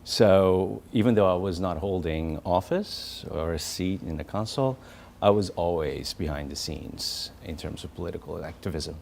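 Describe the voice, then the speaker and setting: A man from Portugal, a local councilman, giving earnest, measured interview answers. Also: deep voice, vocal fry, darkish tone, slight background noise. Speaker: local politician guest.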